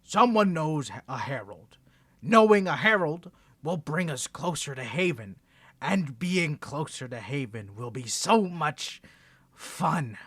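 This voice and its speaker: A man's voice: fairly high pitch, lively intonation, slow pace, fairly bright tone, no echo, exaggerated voice. A man playing an insane, seductive vampire villain in a roleplay audio drama, speaking straight to the listener in a theatrical, coaxing, condescending way. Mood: menacing, gloating. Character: insane male vampire villain, seductive, menacing, toying with prey.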